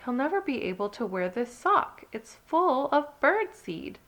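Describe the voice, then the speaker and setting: A woman voicing a sleepy, good-natured male teddy bear in a children's storybook, with drawn-out, expressive delivery. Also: sits high, voice pushed up, heavily acted. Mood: puzzled.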